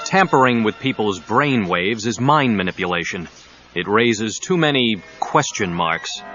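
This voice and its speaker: An actor playing a curt, firm billionaire crime fighter in a 1990s audio drama. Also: male voice, fairly low pitch, animated, unhurried, bright, forceful tone. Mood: firm, clipped. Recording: dull cassette, faint music behind.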